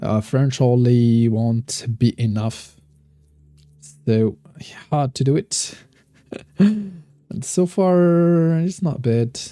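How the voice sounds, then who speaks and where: Dark-voiced man streaming a game. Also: medium pitch, dry recording, clean studio sound. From Morocco. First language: Moroccan Arabic. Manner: thinks aloud, chats with viewers, halting non-native phrasing. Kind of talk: casual live-stream gameplay commentary.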